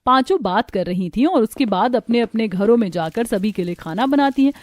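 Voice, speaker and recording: A woman's voice: fairly high pitch, lively intonation, fairly quick, darkish tone. A woman reading scripted narration for a cartoon village story. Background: background music.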